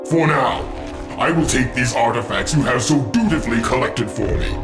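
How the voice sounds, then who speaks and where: An echoing, menacing dragon king holds forth over clear background music. He is a demonic game villain, pompous and gloating, savoring his power with imperious theatrical delivery. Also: lowish voice. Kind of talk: dramatic game villain acting. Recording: echoing, loud music behind.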